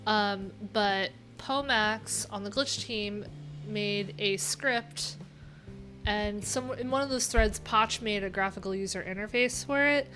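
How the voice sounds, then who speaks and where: A woman's voice: medium pitch, takes it slowly, a bit bright. Casual American woman livestreamer, 30s, wry and rambling, mid-range voice, music underneath. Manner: rambling, wry, informal with swearing. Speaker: livestream host. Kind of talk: casual livestream chat while reading docs. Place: small cluttered room indoors. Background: background music.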